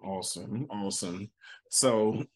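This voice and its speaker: A man's voice: on the low side, slow, a little mellow, husky. A man hosting an interview, affirming the guest with short remarks. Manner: affirming, says "awesome" a lot, chuckles. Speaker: interviewer, host. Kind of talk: conversational interview.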